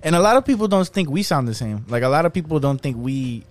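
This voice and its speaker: A man co-hosting a podcast in casual chat, talking in a slangy, rambling, animated way. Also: on the high side, fast, faint music behind. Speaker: podcast co-host.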